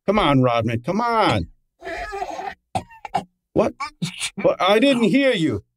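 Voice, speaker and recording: Man acting a brash, lively radio gumshoe. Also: high voice, slow, crowd noise, heavily acted. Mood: exasperated. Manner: exasperated, sardonic, hard-boiled. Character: brash, wisecracking male private detective's assistant. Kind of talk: old-time radio detective drama acting.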